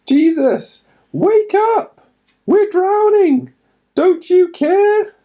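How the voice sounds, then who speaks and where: A man's voice: lively intonation, extremely slow, exaggerated voice. A man reading a children's storybook aloud, voicing frightened male fishermen disciples caught in a storm. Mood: panicked. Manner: high-pitched frantic shouting.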